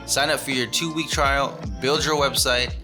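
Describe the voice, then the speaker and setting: A man's voice: mid-range voice, steady tone, moderate pace. A man who hosts a video series, delivering a scripted sponsor message in narration. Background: plucked guitar music.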